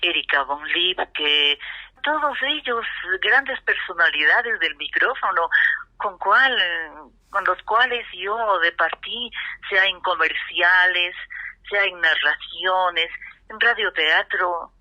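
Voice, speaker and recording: An older woman with an Ecuadorian accent reminisces in an interview as a radio actress, pausing between phrases. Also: on the low side, bright, forceful tone. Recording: dull, narrow-band sound.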